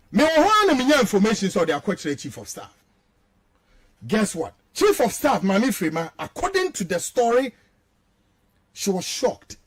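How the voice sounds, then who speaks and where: A man with a Ghanaian accent giving heated, emphatic political commentary, mixing Twi and English and repeating phrases for stress. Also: sits high.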